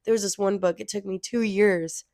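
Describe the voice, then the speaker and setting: A woman co-hosting a casual chat podcast, a little hoarse because she is losing her voice, rambling and cutting into her own sentences as she goes. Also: medium pitch, steady tone, fairly quick, a little mellow, no room sound, studio quality. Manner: rambling, self-interrupting. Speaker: podcast co-host.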